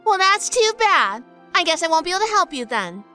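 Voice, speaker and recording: A woman's voice: very high voice, sing-song, a little fast, sharp tone, soft background music, full character voice. A woman playing a shrewd, sassy, hardboiled heroine narrating a detective audio drama. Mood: shrewd, cool. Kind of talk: first-person detective drama acting and narration.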